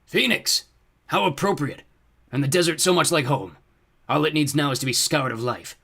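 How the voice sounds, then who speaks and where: A man voicing a fiery, menacing demon lord with a booming, grandiose delivery for a story. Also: moderate pace, bright, forceful tone, husky, exaggerated voice. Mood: menacing, gloating. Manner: grandiose, imperious.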